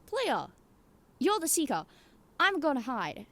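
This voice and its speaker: A woman voicing a playful, childish video game character in an excitable, sing-song way. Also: sits high, slow, pitched above the performer's own voice, exaggerated voice. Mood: playful, eager.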